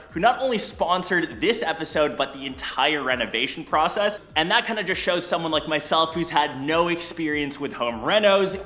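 Young guy vlogging, chatty and upbeat, bright voice, empty echoey condo. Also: a little high. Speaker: YouTuber. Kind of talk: casual vlog walkthrough and Q&A. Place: empty condo studio, large bare room.

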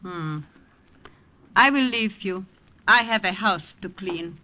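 An actress playing a stern, suspicious Dutch wife, guarded and cold, speaking in formal, clipped phrases in an old-time radio drama. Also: female voice, medium pitch, takes it slowly, bright, forceful tone, slight background noise. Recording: vintage radio drama recording.

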